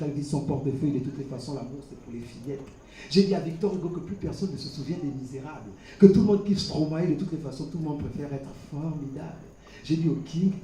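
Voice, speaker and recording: A man reciting slam poetry as a dramatic live performance, declaiming with swings between forceful projection and hushed intimacy. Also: medium pitch. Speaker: slam poet. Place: live poetry competition venue. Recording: roomy echo, distant mic, faint noise.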